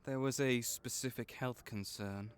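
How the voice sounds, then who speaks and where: A man playing a gentle, caring vet for magical creatures in an audio roleplay, speaking in a measured, reassuring, explanatory way. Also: mid-range voice, fairly even intonation, smooth, lightly acted. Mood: knowledgeable, assured. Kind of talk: soft-spoken one-sided comfort roleplay.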